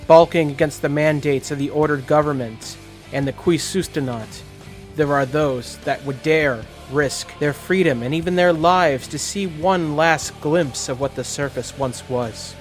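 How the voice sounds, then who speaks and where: A man's voice: on the high side, a little slow, faint music behind, lightly acted. A man playing a solemn rebel chronicler who longs for the surface, in grave, declamatory fantasy prologue narration. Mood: solemn, wistful.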